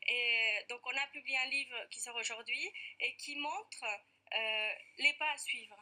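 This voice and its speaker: A woman's voice: on the high side, unhurried, bright, forceful tone. A woman with an Argentine accent, a parent activist answering questions earnestly in a TV studio interview, with frequent hesitations. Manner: earnest, many hesitations with "euh".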